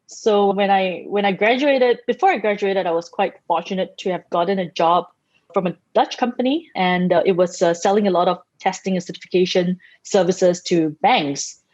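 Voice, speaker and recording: Woman guest founder from Singapore, measured delivery, dull lo-fi dry recording. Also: mid-range voice, darkish tone, no echo. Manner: measured, with small restarts. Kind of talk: podcast interview. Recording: dry, muffled, lo-fi sound.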